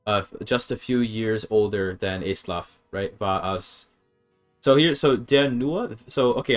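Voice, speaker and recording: A man casually streaming a game, thinking aloud as he reads his chat and works through grammar. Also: lowish voice. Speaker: streamer learning a language. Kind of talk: casual live-stream commentary.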